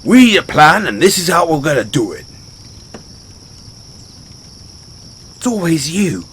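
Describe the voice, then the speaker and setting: A British man voicing a dim, sheepish orc barbarian in a fantasy audio roleplay, speaking in a halting, blunt, stammering way. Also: on the high side, sing-song, fairly bright tone, slight background noise. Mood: earnest, admiring. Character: gruff but sheepish, dim orc barbarian adventurer, adult male. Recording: outdoor night ambience with crickets.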